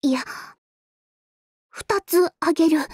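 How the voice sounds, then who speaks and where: A shy young female android fighter from an anime game. The voice is high and unhurried, recorded very dry. The delivery is anxious and earnest, with timid, stammering hesitations. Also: a little mellow, no room sound. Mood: flustered, bashful. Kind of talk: Japanese anime game voice lines.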